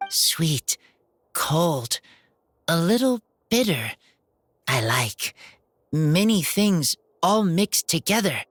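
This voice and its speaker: A man voicing a shy young man raised by wolves, speaking in short halting sentences. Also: fairly high pitch, fairly bright tone, slightly rough, full character voice. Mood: thoughtful. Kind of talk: game voice acting.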